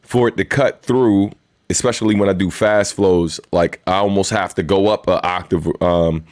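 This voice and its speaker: A man with a very deep voice hosting a weekly live stream, chatting casually in a laid-back, rambling way with lots of fillers. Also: fairly even intonation, moderate pace, darkish tone, no room sound, clean studio sound. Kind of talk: casual livestream chat and Q&A.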